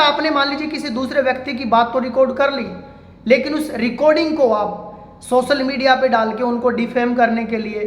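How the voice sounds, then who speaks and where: A man speaking as an advocate, giving an informal legal explainer step by step and addressing viewers as friends. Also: very high voice. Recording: echoey room with long reverb.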